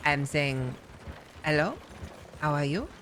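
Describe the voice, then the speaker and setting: Woman playing a lady, formal, patient, measured speech, noisy background. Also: sits low, expressive, a bit bright, full character voice. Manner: formal, measured, sprinkles French words. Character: polite French-speaking woman, formal and patient.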